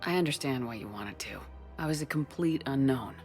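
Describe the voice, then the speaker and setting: An actress playing a tough, direct military commander in a video game, blunt and probing. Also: female voice, extremely low pitch, lively intonation, fairly quick, darkish tone, soft background music. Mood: firm, guarded.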